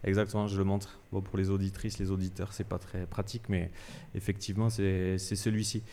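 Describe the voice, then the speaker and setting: A man's voice: low-pitched, level tone, fairly quick, warm tone, long reverb tail. A man hosting a live radio interview at a cultural centre, asking questions hesitantly with many fillers and restarts.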